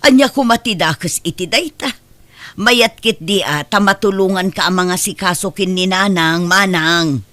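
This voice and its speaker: A lower-pitched woman with an Ilocano accent, pleading and earnest. Her voice is recorded close and dry, with no room sound, for an audio comedy drama. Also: a little slow, fairly bright tone, dry recording. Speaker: drama voice actor, younger sibling. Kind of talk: Ilocano radio-style comedy drama acting.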